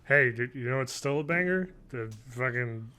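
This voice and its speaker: A man's voice: mid-range voice, normal speed, a crowd in the background. A young man co-hosting a podcast in casual banter, with slangy, sweary talk. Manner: profane, slangy. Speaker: podcast co-host. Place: living space at home, indoors. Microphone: desk microphone, on video call.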